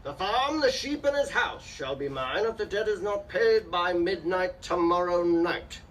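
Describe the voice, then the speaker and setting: A man's voice: high-pitched, lively intonation, unhurried, fairly bright tone. A man acting a sly, pompous villain in hammy radio melodrama, savoring alliteration and taunting all who hear him. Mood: smug gloating. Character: sly gloating melodrama villain, male landlord of a tavern. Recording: distant stage microphone, noisy room.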